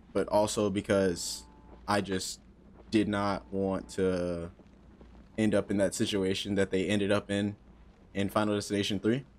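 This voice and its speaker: A young man in his twenties streaming a horror game on a headset mic, joking nervously and talking to himself. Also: on the low side. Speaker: gaming streamer. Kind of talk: casual horror game commentary.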